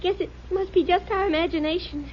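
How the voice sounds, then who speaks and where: A woman's voice: very high voice, fairly even intonation, fast talker. An actress playing a nervous, bickering housewife and mother, exclaiming and scolding in 1950s radio sitcom style. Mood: exasperated. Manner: exclamatory, scolding wifely delivery. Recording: muffled noisy old radio, loud audience.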